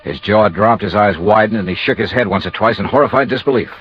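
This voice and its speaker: An American man playing a brisk, skeptical insurance investigator who narrates his own case in a 1950s radio detective drama. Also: fairly low pitch, faint music behind, natural voice. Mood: tense. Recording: dull vintage radio recording.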